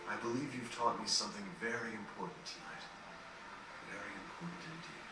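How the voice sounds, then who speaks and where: An actor playing a courteous, thoughtful and quietly resolute gentleman in a video game cutscene, speaking in a formal, measured way. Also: fairly low pitch, lively intonation, very noisy. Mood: reflective, grateful. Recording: very echoey, distant game capture, loud music.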